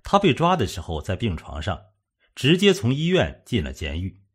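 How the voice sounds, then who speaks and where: A man's voice: medium pitch, wildly swooping pitch, normal speed, slightly rough. A man narrating a suspense novel from a script, as an audiobook reader.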